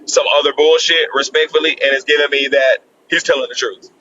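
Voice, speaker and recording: A man hosting a live stream, keeping order in a firm, steady way and repeating his phrases for emphasis. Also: fairly high pitch, fairly quick. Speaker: host. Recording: dull lo-fi stream audio with faint noise.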